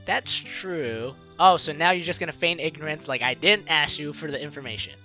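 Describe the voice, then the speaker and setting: A man's voice: a little high, moderate pace, dull audio. A young man playfully reading a visual novel aloud and commenting on it as a let's play host, with game music behind him. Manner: playful, theatrical, jokey reactions.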